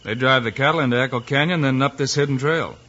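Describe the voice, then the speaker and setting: A decisive American cowboy hero in an old radio western. His mid-pitched voice is bright and forceful, plain-spoken and commanding, on a muffled vintage recording. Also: quick pace. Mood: confident. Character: steady, confident male western lawman hero. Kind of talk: 1950s radio western acting.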